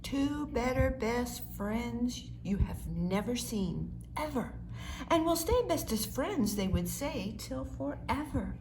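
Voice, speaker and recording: Slow-paced woman reading a children's story. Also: fairly high pitch, noisy background. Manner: measured, expressive storytelling. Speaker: storybook reader. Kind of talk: rhyming children's story narration, read aloud.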